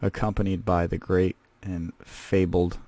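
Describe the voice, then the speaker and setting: A man's voice: low voice, flat delivery, measured pace, warm tone, no room sound. A man playing a rambling, casual male scavenger who hosts a comedic in-character podcast. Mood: chatty. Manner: rambling and casual, lots of fillers. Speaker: host.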